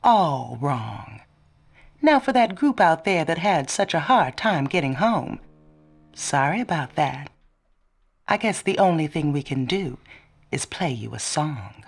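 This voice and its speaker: Low, husky radio DJ. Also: female voice, sing-song, measured pace, full character voice. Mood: cool, wry. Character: cool, smooth late-night female radio DJ. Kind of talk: slow radio DJ patter.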